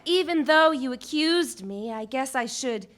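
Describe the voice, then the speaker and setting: A woman's voice: high voice, animated, unhurried, fairly bright tone. A woman playing a worried, grudging roommate in a live 1940s-style radio mystery. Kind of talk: 1940s radio play acting. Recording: live stage recording.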